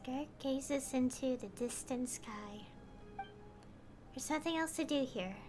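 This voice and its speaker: Slow, soft-spoken woman streamer. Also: high-pitched, over music. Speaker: streamer playing a game. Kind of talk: reading game dialogue aloud.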